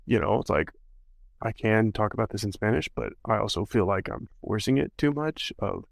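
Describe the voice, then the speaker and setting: A man's voice: lowish voice, lively intonation, moderate pace, creaky, dry recording. A male podcast host chatting casually and rambling, with many fillers. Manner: rambling, full of "you know" and "like". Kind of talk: casual interview chat.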